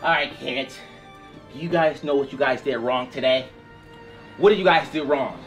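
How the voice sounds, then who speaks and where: A man's voice: medium pitch, moderate pace, over music, lightly acted. A young man playing a stern police officer in a family skit, lecturing and giving repeated commands outdoors. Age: young adult. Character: stern male police officer scolding mischievous kids. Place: outdoors in a backyard.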